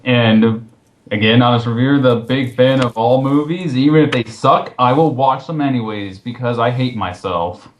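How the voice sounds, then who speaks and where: A man's voice: medium pitch, moderate pace. Male commentary host, mid-range voice, casual delivery with a sarcastic, disbelieving edge. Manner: sarcastic, incredulous. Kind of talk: casual commentary with read-out quotes.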